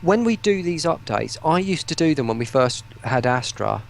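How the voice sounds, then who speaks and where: A British man chatting casually as an interview guest, in a halting way as he thinks aloud. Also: fairly high pitch, sing-song, creaky. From United Kingdom. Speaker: guest, voice pack producer.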